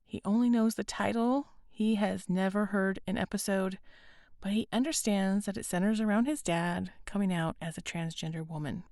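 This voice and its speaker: A woman hosting a podcast, speaking earnestly and gently with a raspy, hoarse voice. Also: medium pitch, steady tone, moderate pace, no echo, clean studio sound. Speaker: podcast host. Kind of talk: scripted podcast intro and interview.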